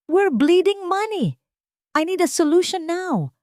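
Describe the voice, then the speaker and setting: A woman storyteller voicing a proud, reckless businessman who gives forceful orders. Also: very high-pitched, big pitch swings, unhurried, dry recording, studio quality, pitched above the performer's own voice, full character voice. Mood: shouting, desperate. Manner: forceful, commanding. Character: proud, desperate, reckless male business boss.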